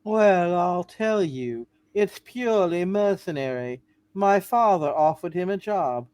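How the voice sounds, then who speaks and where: A man voicing prim, dry women of a small-town family in a hammed-up play reading, deliberate and arch. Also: sits high, expressive, a little mellow, smooth, dry recording.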